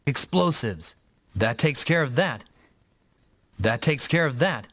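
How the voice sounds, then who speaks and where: A man's voice: medium pitch, animated, slow pace, a bit bright, no echo, clean studio sound, full character voice. An actor playing an earnest, dutiful young male rookie mech pilot in an anime-style video game. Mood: focused, earnest.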